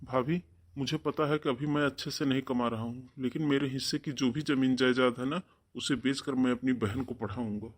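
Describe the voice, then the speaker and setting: A man's voice: medium pitch, fairly even intonation, fairly quick, close to an everyday voice. A man voicing a protective, defiant young brother, earnest and firm, in a melodramatic cartoon story.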